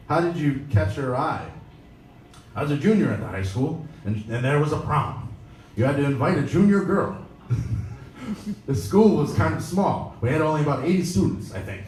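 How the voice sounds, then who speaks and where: A man's voice: medium pitch, a little mellow. Man reading aloud in a very echoey room. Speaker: editor giving a reading. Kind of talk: live reading of an interview with casual asides. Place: indoor live pop-up event at a venue. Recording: echoey, noisy room, distant mic.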